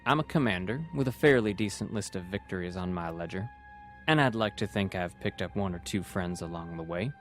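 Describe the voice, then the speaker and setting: Space navy commander played by a man: fairly low, self-assured, natural delivery with a defensive edge, comic dub. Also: expressive, fairly quick. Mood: confident. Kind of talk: scripted comic dub acting. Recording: music under the dialogue.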